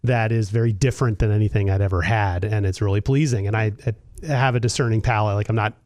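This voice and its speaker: A man's voice: on the low side, fairly even intonation, fast talker, a little mellow, dry recording, clean studio sound. A man hosting a casual interview podcast, enthusiastic and effusive in his praise. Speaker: podcast host.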